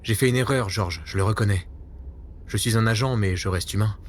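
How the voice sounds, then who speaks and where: A man's voice: lowish voice, fairly quick, slight background noise, lightly acted. A man playing a weary, self-doubting veteran secret agent in a spy audio drama, earnest and brooding. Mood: earnest, defensive.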